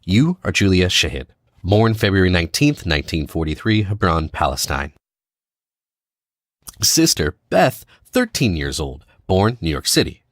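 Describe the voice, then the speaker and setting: A man playing a cocky, needling American spy in an audio drama, casual and clipped, with quiet menace. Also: low voice, sing-song, a little slow, no room sound, clean studio sound, full character voice. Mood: pressing, cold.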